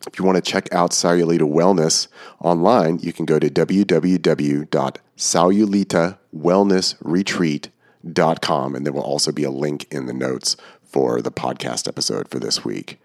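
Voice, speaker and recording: A congested man hosts his podcast in a loose, rambling manner, full of fillers. His voice sits very low, and the sound is close and dry, with no room around it. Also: steady tone, moderate pace, no room sound. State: congested from a cold. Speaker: podcast host. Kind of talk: casual podcast talk.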